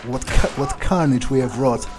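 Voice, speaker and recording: A man's voice: mid-range voice, normal speed, loud music behind the voice, lightly acted. A man improvising in character as a cocky, callous vampire while playing a video game, drawling and scornful. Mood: callous, musing. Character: cocky, callous male vampire roleplayed by a gamer. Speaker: gamer roleplaying in a playthrough.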